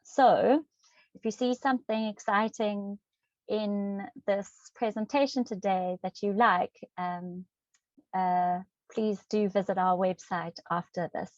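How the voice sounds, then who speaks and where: A woman's voice: medium pitch, fairly even intonation, slow pace, no echo. A woman hosting a webinar, reading out speaker bios with frequent ums and self-corrections. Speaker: webinar host. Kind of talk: reading introductions with fillers. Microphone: video call. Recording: dry, dull lo-fi video call audio.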